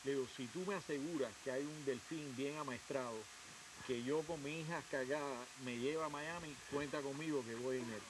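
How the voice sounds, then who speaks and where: A man's voice: mid-range voice, normal speed. A man guest on a television interview telling a wry anecdote. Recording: hissy TV broadcast audio.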